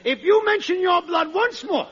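An actor playing a jealous, wisecracking pal in a 1940s radio sitcom. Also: male voice, very high voice, expressive, fairly bright tone. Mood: scornful, needling. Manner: scornful, sarcastic, drawn-out. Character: jealous, scornful working-class pal, a wisecracking riveter. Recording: muffled old radio, studio audience behind.

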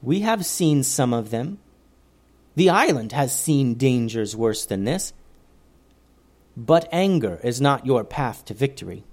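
A man reading a storybook aloud and voicing a wise female forest leader who speaks slowly and solemnly. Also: mid-range voice, expressive, darkish tone. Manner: slow, measured, solemn.

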